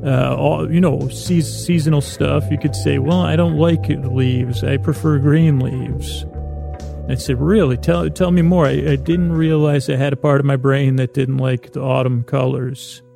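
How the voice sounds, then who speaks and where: A man hosting a podcast with a rambling, whimsical bedtime monologue, in a creaky voice, meandering and halting as he repeats and doubles his words. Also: on the high side, warm tone. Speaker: podcast host.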